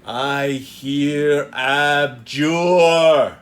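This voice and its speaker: A man playing a powerful, wronged magician in a Shakespearean soliloquy, declaimed in a solemn, commanding, deliberate manner. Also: fairly high pitch. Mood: commanding, grand.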